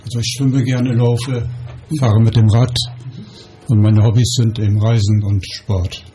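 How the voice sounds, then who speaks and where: Mellow older man, faint ambient noise. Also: on the low side, flat delivery, a little slow. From Bremen, northern Germany. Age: 60s or older, retiree. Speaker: radio guest. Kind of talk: informal self-introduction.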